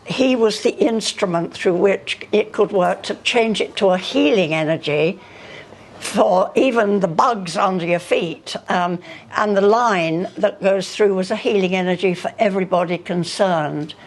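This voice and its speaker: A woman's voice: mid-range voice, measured pace. An older woman speaking unscripted at a live talk, hesitant and often searching for her words. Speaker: speaker at a public conversation. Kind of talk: unscripted talk answering questions.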